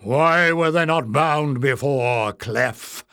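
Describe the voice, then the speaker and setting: A man's voice: fairly high pitch, slow pace, a bit bright, smooth, no room sound, clean studio sound, voice pushed up. A man voicing a wrinkled old male goblin official who gives stern, scolding orders. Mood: imperious.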